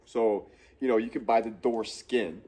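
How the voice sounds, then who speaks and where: A man's voice: medium pitch, measured pace, a little mellow. A man in his thirties with a cold and a stuffy nose, hosting a casual shop tutorial in a large fabrication shop and rambling with frequent self-corrections. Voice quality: congested, nasal. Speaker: host of a truck build series. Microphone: camera on a tripod at mid distance.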